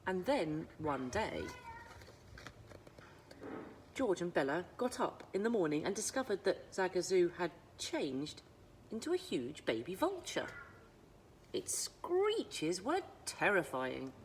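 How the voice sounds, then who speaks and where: A woman narrating a children's storybook as a librarian would, with dramatic pauses and emphasis. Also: on the low side, sing-song, a little hiss or hum, rough recording. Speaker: school librarian reading aloud.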